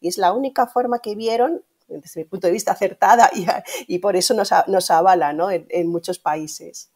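A woman's voice: medium pitch, normal speed, a little mellow, husky. A middle-aged woman with a Castilian Spanish accent explaining things conversationally in an interview over a video call, often ending phrases with tag questions. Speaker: guest, radio industry manager. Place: indoor room at home, on a video call.

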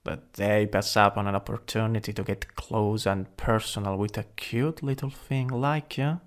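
A man with an Italian accent playing a jealous, flirtatious supervillain in an intimate ASMR roleplay, breathy and often whispering. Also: lowish voice, fairly even intonation, close to an everyday voice. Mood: possessive, teasing. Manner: slow, teasing, lingering delivery. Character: jealous, possessive, teasing flirtatious male supervillain.

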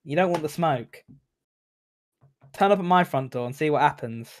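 A man's voice: a little high, lively intonation, normal speed. A man in his thirties with a Kent English accent, joking and laughing often while co-commentating a game stream from a home gaming setup. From England.

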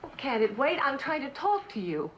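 An actress playing a bitter, neglected wife, plaintive and accusing, in mid-century TV drama style. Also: female voice, high voice, very animated, normal speed, fairly bright tone. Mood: accusing, pleading. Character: neglected, bitter young wife, wounded and reproachful. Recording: dull, faintly noisy old television soundtrack.

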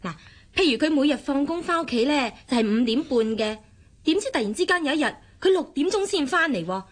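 A woman's voice: high-pitched, lively intonation, fast. An actress playing a bossy, suspicious married woman meddling in a friend's marriage in a radio drama, with a Hong Kong Cantonese accent. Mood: scheming, bossy.

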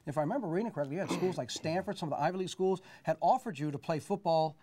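A man's voice: a little high, warm tone, a little hiss or hum. A man in his forties interviewing a former player on a TV studio set, asking long, enthusiastic questions on a lapel mic. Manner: brisk, enthusiastic storytelling questions. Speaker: sports TV interviewer. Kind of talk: TV sports interview.

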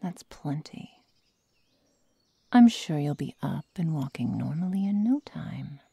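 Woman acting a sadistic werewolf girl, low-pitched, very mellow breathy murmur, mock-tender menace, ASMR roleplay. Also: lively intonation, unhurried, no room sound, exaggerated voice. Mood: mocking, reproachful. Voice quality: breathy, often whispered. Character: sadistic young female werewolf, coldly teasing and menacing. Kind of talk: ASMR roleplay, whispered and close. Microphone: close microphone, dry sound.

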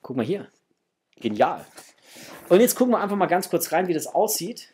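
A man's voice: high voice, sing-song, normal speed, dark tone. Enthusiastic man chatting over background noise. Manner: enthusiastic, gushing in superlatives. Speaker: toy collector vlogger, host. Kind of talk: casual unboxing chat to viewers. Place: small indoor home room with framed posters.

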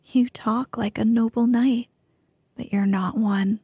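A woman's voice: a little high, steady tone, slow pace, warm tone, husky, no echo, studio quality, exaggerated voice. A woman voicing a scornful, haughty young damsel in a whispered storybook reading. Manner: whispered, sneering.

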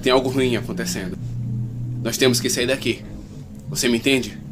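A man's voice: medium pitch, steady tone, normal speed, over music, lightly acted. An actor playing a tense, protective father in a fan-dubbed video game, speaking in an urgent, commanding manner. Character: protective, tense father fleeing an outbreak with his daughter. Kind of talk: fan-dub game acting in Portuguese.

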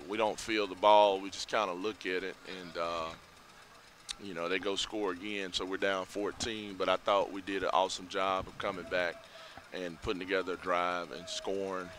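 Low-voiced coach. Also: male voice, level tone, fairly bright tone, a little hiss or hum. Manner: measured, reflective, many fillers. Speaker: head football coach. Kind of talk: postgame interview. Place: outdoors by a school building at night. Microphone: headset mic.

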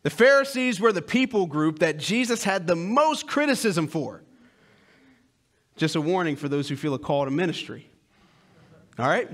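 A man in his thirties preaching a sermon on a church stage through a headset mic, with loud, emphatic lines and hushed asides. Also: on the high side, sing-song, moderate pace, long pauses. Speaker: pastor.

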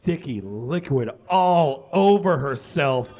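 Higher-voiced man giving muffled comic reading. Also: expressive, takes it slowly. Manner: theatrical, emphatic, with stumbles over words. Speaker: live reader on stage. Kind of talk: comic dramatic reading of fan fiction to an audience. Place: small indoor stage with curtains. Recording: slightly muffled live stage recording.

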